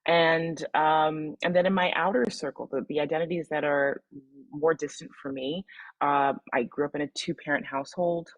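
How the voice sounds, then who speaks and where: A woman from the Midwest leads an online workshop, talking participants step by step through a reflection exercise. Her voice sits low and has a slightly husky edge. The sound is dry and close, like a video call. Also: fairly even intonation, normal speed, no room sound, lo-fi sound. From Midwestern US, Michigan. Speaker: webinar presenter.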